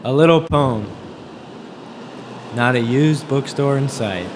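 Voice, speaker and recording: A man reading a scripted first-person story in a deadpan, terse way, as a wry, observant driver out with his girlfriend. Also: medium pitch, slow pace, a little hiss or hum, lightly acted. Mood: wry, detached. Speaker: author reading excerpts from his own novel. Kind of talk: scripted first-person narration with dialogue over music. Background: music.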